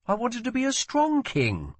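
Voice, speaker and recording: A man's voice: extremely high pitch, wildly swooping pitch, normal speed, dry recording, voice pushed up, exaggerated voice. A man voicing a wounded young prince in an audiobook, petulant and dramatic, playful yet weighed down by guilt. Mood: vulnerable, ashamed. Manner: scornful, dramatic, demanding.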